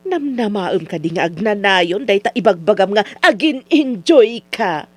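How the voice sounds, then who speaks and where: A woman's voice: mid-range voice, lively intonation, measured pace. A Filipino woman with an Ilocano accent acting as a worried, scolding mother in a radio drama, with hum behind her.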